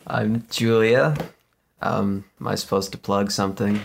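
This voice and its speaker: A woman's voice: a bass voice, steady tone, a little slow, vocal fry, slight background noise. A woman casually introducing herself as a guest voice actor.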